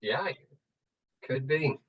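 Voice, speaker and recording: A male host making relaxed small talk and introducing a guest on a video call. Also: medium pitch, extremely slow, husky. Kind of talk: casual video call chat. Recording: distant, dull video call audio.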